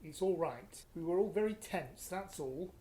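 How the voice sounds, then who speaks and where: A British woman playing a blunt, indignant but protective parent in a radio play, with a brisk manner. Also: lowish voice, lively intonation, mellow, lightly acted. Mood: cross, defensive. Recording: roomy, faintly noisy recording.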